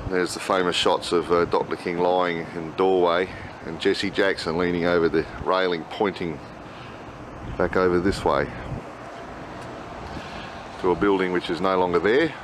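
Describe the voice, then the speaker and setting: A man's voice: low-pitched, steady tone, moderate pace. A middle-aged man narrating his travels casually to a camera held at arm's length outdoors. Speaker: travel vlogger. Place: outdoors by motel and city streets. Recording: noisy outdoor camcorder audio, street sounds.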